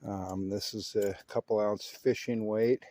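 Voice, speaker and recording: A man's voice: on the low side, fairly even intonation, measured pace, mellow. A man narrating his own hobby video in a casual, folksy and self-assured way, with slangy turns of phrase.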